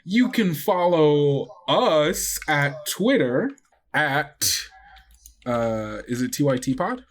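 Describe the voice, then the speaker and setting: A man's voice: a little high, big pitch swings, takes it slowly, slight background noise. A man hosting as game master, wrapping up a tabletop podcast in a loose, chatty way full of fillers. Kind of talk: casual podcast sign-off.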